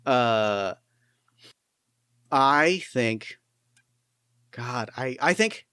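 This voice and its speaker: A man with a smooth, resonant voice runs a tabletop game and chats through it casually. He talks loosely, with plenty of fillers and restarts. The sound is dry and close, with no room around it. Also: mid-range voice, animated, extremely slow, sharp tone, dry recording. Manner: rambling, many fillers and restarts. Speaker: game master. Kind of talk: casual tabletop play and narration.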